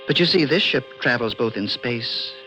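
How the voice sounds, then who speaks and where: An actor in an old radio drama playing a polite, serious alien visitor who speaks in a measured, precise, mildly condescending way. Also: male voice, mid-range voice, expressive, pushed and bright. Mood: patient, explaining. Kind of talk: 1940s-50s radio drama acting. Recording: muffled vintage radio recording, faint music.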